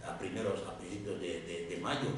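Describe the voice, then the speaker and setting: Male African writer on a panel, second-language speaker, animated and halting delivery, low voice, distant echoey room sound. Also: background noise. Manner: animated, many repeated words and restarts. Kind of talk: round-table talk. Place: lecture room. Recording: echoey hall, distant mic.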